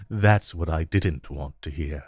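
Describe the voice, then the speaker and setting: A man playing a suave, flirtatious supervillain in a romantic audio roleplay, talking in a smooth, teasing, unhurried way with drawn-out pauses. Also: rumbling low, a little mellow, heavily acted. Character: suave, flirtatious male supervillain visiting his tailor. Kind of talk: romantic audio roleplay acting addressed to the listener.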